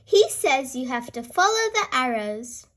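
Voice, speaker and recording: A hearty old grandpa pig from a children's storybook plays at pirates, as a young reader puts them on. The voice is very high and bright, with playful, swooping delivery. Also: big pitch swings, unhurried, exaggerated voice.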